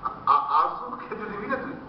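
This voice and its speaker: A man's voice: sits high, animated, fairly quick. A distant, very echoey recording of a poor woodcutter husband in a comic play. He bickers in rustic, exclamatory speech, teasing and theatrical, with a swooping delivery. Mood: exasperated. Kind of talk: comic Bengali stage-style drama acting. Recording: distant, echoey, dull, noisy hall recording.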